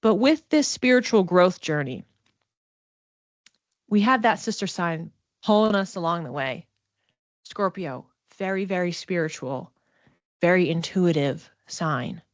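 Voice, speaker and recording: A woman's voice: fairly low pitch, a little slow, pauses often, no room sound, muffled recording. A woman giving an informal spiritual card reading to one listener in a reassuring, conversational manner. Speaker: psychic oracle card reader and mentor.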